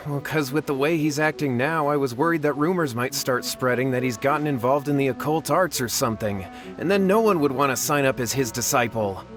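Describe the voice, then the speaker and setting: A man's voice: mid-range voice, fairly quick, hardly any pauses, close to an everyday voice. A man voicing a nervous, cowardly, scheming young brother in a video game, flustered and pleading. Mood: anxious confessing. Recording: music behind the voice.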